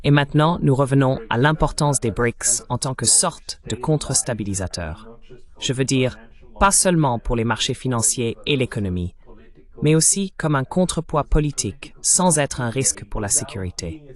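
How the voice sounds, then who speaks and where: A man's voice: medium pitch, animated, moderate pace. A man with a mid-range voice provides voice-over for a political interview. He delivers the translated questions and answers as spoken discussion. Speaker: French voice-over translating interview host and guest.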